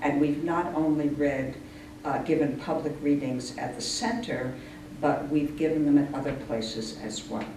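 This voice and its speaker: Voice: mid-range voice, steady tone, normal speed. A person explaining things earnestly in an informal interview, as the leader of a poetry reading group. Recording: echoey distant room recording, clear noise.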